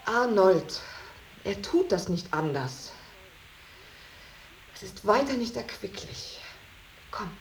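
An actress playing a brisk, principled young woman in a German radio drama, matter-of-fact and admonishing. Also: mid-range voice, big pitch swings, a little hiss or hum. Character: brisk, principled elder sister, an art teacher.

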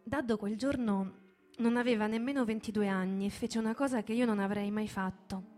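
A female performer reads a narrative in a dramatic, lyrical style. Her voice sits at a middle pitch and moves in short, deliberate poetic phrases. Music runs faintly underneath. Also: steady tone, a little mellow. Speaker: storyteller, performer. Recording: music behind the voice. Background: background music.

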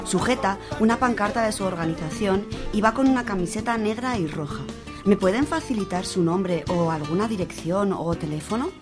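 A woman playing a timid, subdued young woman in a radio drama, mild and quiet, with an accent from Spain. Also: mid-range voice, a little fast, over music, lightly acted. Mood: polite.

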